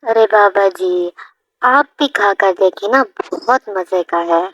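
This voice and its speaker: A woman's voice: medium pitch, sing-song, slow pace, creaky, fairly bright tone, no room sound, full character voice. A woman voicing a bold, modern young daughter-in-law in a family cartoon, with an insistent manner. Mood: coaxing. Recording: dull lo-fi audio, music behind.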